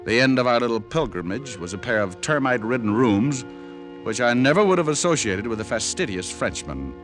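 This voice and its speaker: An actor playing a cool, wisecracking private detective with an American accent and wry wit, in old-time radio drama style. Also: male voice, mid-range voice, big pitch swings, fairly quick, a bit bright, soft background music. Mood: wry, narrating. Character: wisecracking, cool male private detective narrating his case. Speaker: lead actor and narrator.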